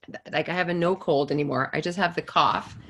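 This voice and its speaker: A woman on a video call speaks in a fairly low voice, gentle and reassuring. A lingering cold leaves her coughing now and then. She acts as a calm, supportive host. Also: fairly quick, a little hiss or hum. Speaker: host and coach. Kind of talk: casual conversation over a call.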